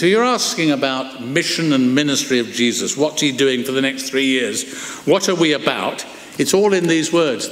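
An older man preaching a sermon from a lectern in a large church, expressive and slowing down to stress his points. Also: mid-range voice. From England. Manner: expressive, gestures broadly, slows for emphasis. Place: large wooden church, indoors. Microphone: lectern microphone. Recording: echoey church hall, long reverb.

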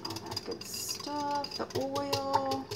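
A woman in her thirties, chatting casually as she demonstrates a recipe in her home kitchen, teasing herself and letting out lively exclamations. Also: high voice, drawn-out words. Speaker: home cooking channel host. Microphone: fixed camera mic at a distance. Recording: distant camera mic with faint room noise.

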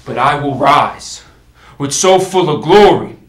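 Man acting a proud warrior king, slow and echoey. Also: medium pitch, a bit bright. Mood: rousing, threatening. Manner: declamatory, deliberate, with dramatic pauses and swells. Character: proud young warrior king, wryly menacing, vengeful. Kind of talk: Shakespearean stage monologue in verse. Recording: echoey room.

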